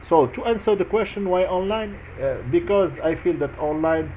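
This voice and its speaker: A man's voice: high-pitched, moderate pace, darkish tone, slight background noise, rough recording. A man in his forties from Morocco, earnestly telling in a casual chat how he met his wife, with many restarts and fillers. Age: 40s. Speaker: vlogger answering his wife's questions.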